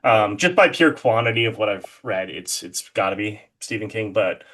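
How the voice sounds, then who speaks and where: A man's voice: lowish voice, lively intonation, normal speed, a bit bright. A man speaking casually and enthusiastically as a guest in a conversational interview. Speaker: interview guest, horror artist.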